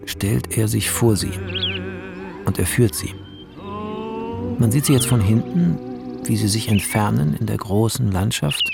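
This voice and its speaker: A solemn male narrator reads film shot directions in a radio play. His voice is low with a gravelly fry, measured in delivery, with clear music underneath. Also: lively intonation, exaggerated voice. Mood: measured, lyrical.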